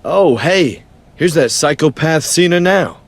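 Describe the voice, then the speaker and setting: A bullying wrestling heel in a video game. His voice is fairly high and swings about as he taunts with mock menace. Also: expressive, measured pace, vocal fry, exaggerated voice. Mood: taunting. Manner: mocking, menacing. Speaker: pro wrestler. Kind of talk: scripted video game cutscene acting.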